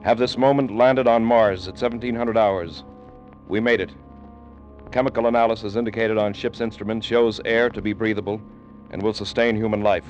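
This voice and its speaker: A man's voice: fairly low pitch, steady tone, normal speed, close to an everyday voice. Man playing a brooding spaceship captain, vintage radio. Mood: formal, matter-of-fact. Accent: American. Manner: theatrical, ruminative, with clipped official orders. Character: brooding male rocket ship captain, uneasy, poetic, commanding. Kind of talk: old-time radio drama acting. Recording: dull vintage 1950s radio recording. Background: dramatic background music.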